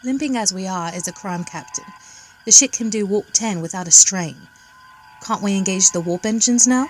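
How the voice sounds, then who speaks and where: A woman's voice: mid-range voice, normal speed, a little hiss or hum, lightly acted, rough recording. A woman playing an eager, rule-bending chief engineer aboard a starship in a science fiction audio drama. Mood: impatient, coaxing.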